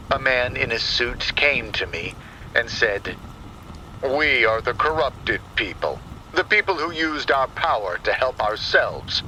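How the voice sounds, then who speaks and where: A man narrating a scripted first-person horror story in a deliberate, dramatic manner. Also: fairly low pitch, sharp tone, a little hiss or hum, rough recording. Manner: slow, deliberate, dramatic delivery. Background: rain and thunder sound effects.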